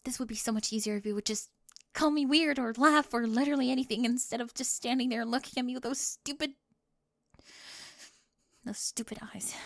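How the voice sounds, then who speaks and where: A woman voicing a flustered, defensive teenage cheerleader bully with a secret crush in an ASMR roleplay, halting and nervous, often dropping toward a whisper. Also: fairly high pitch, gravelly, no room sound, clean studio sound. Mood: flustered, indignant, then nervous, pleading. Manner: halting, self-interrupting, nervous laughs, drops into whispers.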